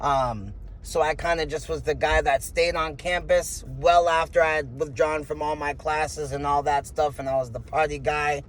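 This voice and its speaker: A man's voice: a little high, steady tone, normal speed, few pauses, slight background noise. Froggy-voiced man in his thirties. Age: 30s. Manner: rambling, frank, full of filler words. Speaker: interview guest, rapper. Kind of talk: candid interview answers.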